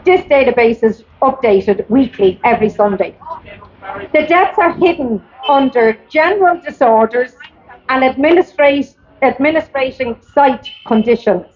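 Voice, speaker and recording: An Irish woman reading a prepared campaign speech aloud at a public protest, deliberate and emphatic, sometimes stumbling over her script. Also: sits high. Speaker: campaigner giving a public address. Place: outdoors at a public rally. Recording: dull, lo-fi speech recording.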